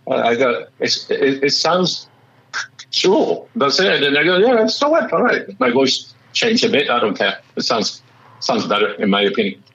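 A man with a slightly raspy voice, a musician chatting excitedly in an interview and often restarting his sentences. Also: medium pitch, normal speed, muffled recording. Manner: excitable, rambling with many restarts. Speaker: musician guest. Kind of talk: casual interview chat.

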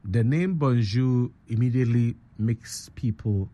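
A man hosting a breakfast radio show, asking a guest measured, probing questions. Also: medium pitch, mellow. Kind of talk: radio interview.

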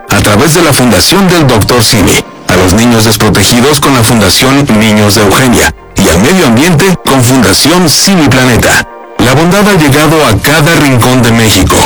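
A man reads a scripted feature report in a polished, upbeat voice of middle pitch. Faint music plays under the narration. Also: fairly bright tone. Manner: polished, upbeat promotional delivery.

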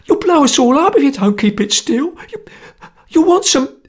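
Casting: whining young Cockney coward, very high and squeaky, breathy, stammering in terror, for a storybook. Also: male voice, very animated, measured pace, exaggerated voice. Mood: terrified. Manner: stammering, pleading, gasping. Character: terrified, whining cowardly young male would-be anarchist.

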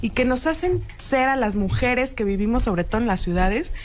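Woman, 30s, talk-show guest, earnest explainer, slightly high voice. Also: lively intonation, moderate pace, darkish tone, a little hiss or hum. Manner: earnest, explanatory, frequent tag questions. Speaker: guest expert. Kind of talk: radio talk show discussion.

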